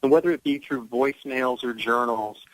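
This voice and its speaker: A man's voice: mid-range voice, normal speed, fairly bright tone, slight background noise, low-quality recording. A man speaking as an actor guest in a casual radio interview, explaining things with enthusiasm. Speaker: guest, audio drama actor.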